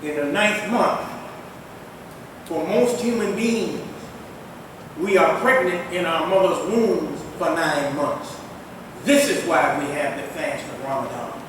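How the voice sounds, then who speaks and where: Older imam preaching in an echoing hall. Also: male voice, slow, pauses often. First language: not Arabic. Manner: emphatic preaching with rising exclamations. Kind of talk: Friday sermon. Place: large mosque prayer hall. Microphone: distant mic in a mosque. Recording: echoey hall, far from the mic, faint rumble.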